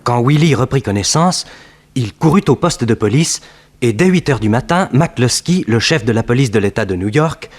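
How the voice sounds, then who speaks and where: A man narrating a 1960s French radio detective serial in a formal, measured, sober way. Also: medium pitch, expressive, close to an everyday voice.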